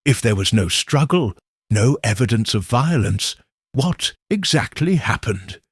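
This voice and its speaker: Male narrator as baffled, earnest detective's sidekick, husky, expressive pitch, formal storybook dialogue. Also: unhurried, dry recording, studio quality. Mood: puzzled. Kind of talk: audiobook character dialogue.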